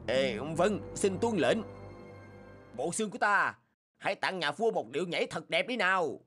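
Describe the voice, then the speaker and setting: A stammering greedy cartoon rogue. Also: male voice, sits high, expressive, normal speed. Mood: nervous. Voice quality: stammering, fawning. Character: cowardly greedy young man, stammering. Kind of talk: cartoon voice acting. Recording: loud music behind.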